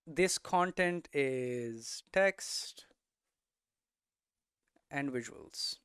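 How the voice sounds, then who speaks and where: A man giving an informal explanatory lesson as a presenter, posing questions and answering them himself in halting, emphatic phrases. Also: mid-range voice, animated, fairly bright tone, clean tone, no room sound, clean studio sound. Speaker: presenter of a game development explainer series.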